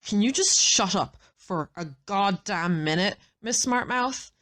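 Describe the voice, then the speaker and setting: A woman's voice: medium pitch, lively intonation, measured pace, fairly bright tone. A woman acting in an audio drama as a brash, sardonic, hard-bitten assassin who swears freely. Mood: angry, defensive. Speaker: voice actor in an audio roleplay.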